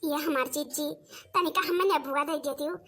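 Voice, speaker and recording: A woman voicing an excitable, pleading village woman in a comic cartoon, with a squeaky childlike voice and an Awadhi accent. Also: moderate pace, close to an everyday voice. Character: excitable village woman begging her sister-in-law for remedies. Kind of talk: comic cartoon dialogue in rural dialect.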